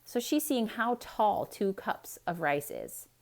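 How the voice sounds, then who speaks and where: A woman reading a children's story aloud in a theatrical, expressive way, speaking directly to young listeners with explanatory asides. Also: medium pitch, slow pace, creaky.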